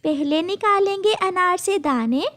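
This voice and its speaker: A woman's voice: very high-pitched, animated, measured pace, fairly bright tone, exaggerated voice. A woman voicing a firm, honest little female bird who sells juice in a children's cartoon, speaking matter-of-factly. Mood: sly.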